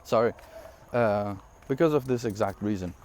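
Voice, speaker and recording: Rambling young man, low voice. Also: moderate pace, slight background noise. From Syria. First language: not English. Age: 20s. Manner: energetic, rambling, frequent laughs and fillers. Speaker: online business coach. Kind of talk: casual direct-to-camera talk.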